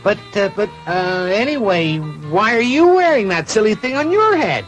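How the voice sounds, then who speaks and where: A mischievous cartoon schoolboy, voiced by an adult actor, cocky and breezy. His mid-pitched delivery moves up and down a lot. Music runs behind it on an old dubbed soundtrack. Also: big pitch swings, moderate pace, natural voice. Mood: evasive, feigned ease. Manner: breezy, self-satisfied, stammers when evasive. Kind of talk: dubbed classic cartoon acting.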